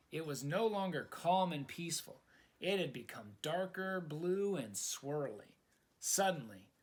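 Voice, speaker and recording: A man reading a children's story aloud with dramatic, expressive narration aimed at young listeners. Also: on the high side. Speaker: storytime reader.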